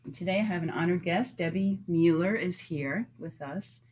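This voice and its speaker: A woman's voice: on the low side, normal speed, a little mellow, slight background noise. A woman hosting an art podcast, chatting casually with a guest and laughing along often. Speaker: podcast host. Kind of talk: casual interview chat.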